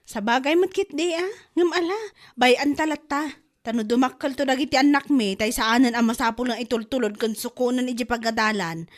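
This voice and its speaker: A woman's voice: on the high side, fairly quick. A woman with an Ilocano accent acting a complaining wife in a comedy drama, full of exasperated exclamations.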